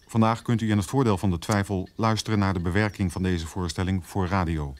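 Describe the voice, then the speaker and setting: A man acts as radio announcer, reading a scripted introduction. His voice is deep. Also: fairly even intonation, fairly quick.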